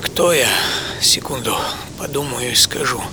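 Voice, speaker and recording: A man playing an exhausted, wryly philosophical mountaineer in a radio monologue, halting and breathy, drifting between whisper and voice. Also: mid-range voice, background noise, natural voice. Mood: weary, dazed. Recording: music under the voice. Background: music.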